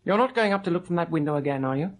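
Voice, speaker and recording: An actor playing a weak, gentle husband of about thirty in a radio drama, husky and halting, with a plaintive, weary manner. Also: male voice, on the high side, animated, lightly acted. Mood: uneasy. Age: 30s. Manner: halting, plaintive, self-doubting. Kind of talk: old radio drama acting.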